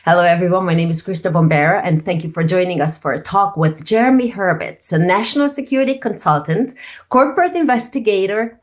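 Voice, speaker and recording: Woman interviewing in English. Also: mid-range voice. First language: Hungarian. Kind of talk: video interview.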